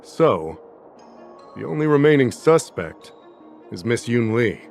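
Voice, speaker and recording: An actor playing a shrewd, insistent investigator in a video game, deliberate and logical as he reasons and questions. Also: mid-range voice, very animated, creaky, a little mellow, full character voice. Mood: accusing, insistent. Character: shrewd, insistent male investigator pressing an accusation. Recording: music behind the voice.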